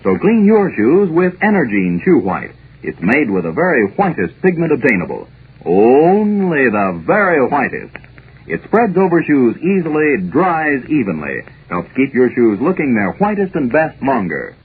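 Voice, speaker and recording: A man's voice: medium pitch, sing-song, normal speed. An American man reading a scripted 1940s radio advertisement as an announcer. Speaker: commercial announcer. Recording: hissy, phone-like 1940s radio recording.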